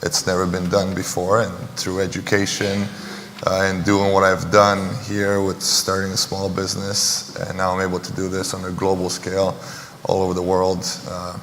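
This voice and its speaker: Panelist, man from Romania, very low and level delivery, blunt and earnest, long hall reverb. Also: monotone, normal speed, fairly bright tone. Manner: earnest, blunt, repeats phrases. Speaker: panelist, basketball entrepreneur. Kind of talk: panel discussion answers. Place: large hall, live panel. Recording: echoey hall, faint room noise.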